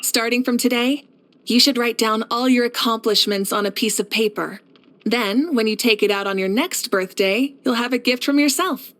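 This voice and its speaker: A woman playing a confident, warm young warrior leader in a video game, speaking in a poised, measured, earnest way. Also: fairly high pitch, natural voice. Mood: encouraging. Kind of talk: scripted video game character voice lines.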